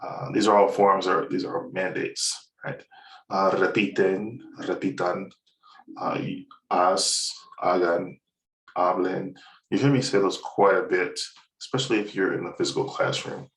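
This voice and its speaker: Casting note: low, gravelly male instructor with a relaxed, rambling delivery, recorded in an echoey room. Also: measured pace. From United States. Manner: relaxed, rambling, many uhs, chuckles at own jokes. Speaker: Spanish instructor. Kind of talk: screen-recorded course walkthrough. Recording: roomy, slightly distant mic.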